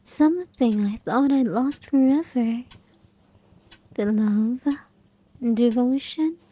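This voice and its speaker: A woman voicing a vengeful, possessive haunted porcelain doll in an intimate audio roleplay, speaking softly and coaxingly with menace, breathy at times. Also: fairly high pitch. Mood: tender, obsessive. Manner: soft, slow, coaxing, menacing.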